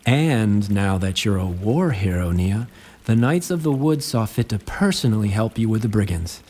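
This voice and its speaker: Fawning male courtier: fussy, courtly, very animated pitch, in a reverberant hall. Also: normal speed. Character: obsequious, fussy male attendant to a commander. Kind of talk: fantasy audio drama acting.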